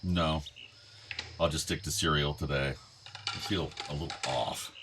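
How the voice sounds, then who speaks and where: A man's voice: rumbling low, steady tone, measured pace, background noise. An actor playing an older, weary, worried father pleading with his troubled son, in a naturalistic radio play. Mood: weary, sad.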